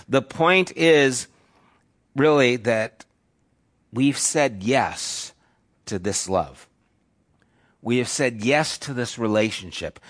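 A man's voice: mid-range voice, fairly bright tone. Casual, emphatic man preaching a sermon. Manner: conversational preaching, emphatic repetition, fillers like "you know". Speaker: pastor. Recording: live church service, audio only.

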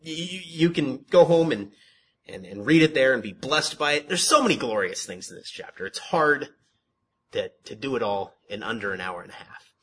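Guy pastor teaching scripture, kinda monotone by his own say, husky and bright, repeats himself a lot. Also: mid-range voice, normal speed. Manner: self-described monotone, repeats words and restarts, asks rhetorical questions. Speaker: pastor teaching a Bible study. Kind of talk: conversational sermon teaching.

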